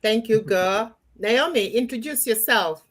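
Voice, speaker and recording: A woman's voice: a little high, unhurried, background noise. A middle-aged woman from Cameroon hosting a live online panel, chatting to viewers and handing over to guests. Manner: chatty, warm asides to viewers. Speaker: host of a live panel show.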